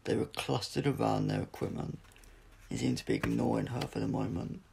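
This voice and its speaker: A man's voice: on the low side, steady tone, a little fast, vocal fry, a little mellow, slight background noise. A man narrating an adventure audiobook from a script, with the occasional stumble as he reads aloud. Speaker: audiobook reader.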